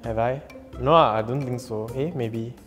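A playful young Singaporean man trading jokes on a studio set. His medium-pitched voice carries a long reverb tail, with music playing underneath. The clip-on mic keeps him close but the room rings. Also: measured pace.

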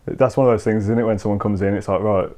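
Young man, quick and mellow. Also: on the low side, steady tone. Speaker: football podcast co-host. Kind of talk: casual post-match football chat. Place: home room, indoors. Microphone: video call with earphone mic.

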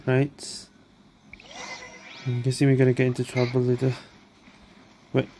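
A woman's voice: very deep voice, monotone, a little slow, dark tone, a little hiss or hum. A woman gaming streamer giving casual commentary as she plays. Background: game music.

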